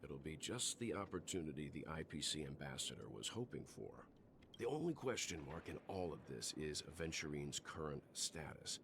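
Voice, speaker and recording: Calm selfless mentor in a game, super deep voice, noisy ambience behind him. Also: male voice, normal speed, heavily acted. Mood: composed, resolved. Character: calm, resolute mature man, selfless mentor. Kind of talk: video game story voice acting. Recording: clearly noisy background ambience.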